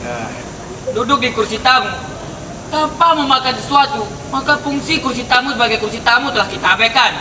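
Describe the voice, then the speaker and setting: A young man playing a sly, courteous, persistent gentleman in a stage drama. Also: extremely high pitch, sing-song, fairly quick. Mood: smooth teasing. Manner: courteous, teasing, insistent. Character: sly, polite, persistent gentleman pestering a married lady. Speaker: student stage actor. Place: outdoor car park stage. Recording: distant echoey stage recording with loud background noise.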